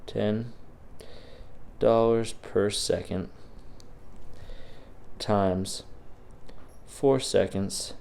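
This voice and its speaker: A man's voice: lowish voice, fairly even intonation, drawn-out words, gravelly, long pauses, a little mellow. A man, sick and a bit sniffly, teaching in a casual, encouraging way as he walks through practice problems in a video lecture. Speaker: physics teacher.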